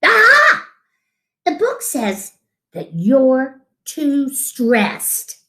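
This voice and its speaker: A woman's voice: sits high, huge pitch swings, very slow, pushed and bright, pitched above the performer's own voice, full character voice. A woman voicing a kindly, bookish young male knight who talks in an earnest, instructive way. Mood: encouraging.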